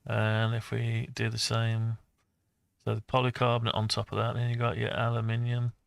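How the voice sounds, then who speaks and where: A man's voice: medium pitch, completely flat delivery, moderate pace, vocal fry, no room sound. A man giving a casual design walkthrough, thinking aloud in a hesitant, musing way as he talks through his ideas. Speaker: presenter of a hobby design project video.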